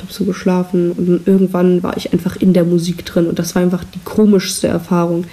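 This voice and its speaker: Teen girl podcast host, self-deprecating rambler; low, flat, fry-heavy voice on a cheap hissing mic. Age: teens. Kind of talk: casual solo chat. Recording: noisy cheap microphone with hiss.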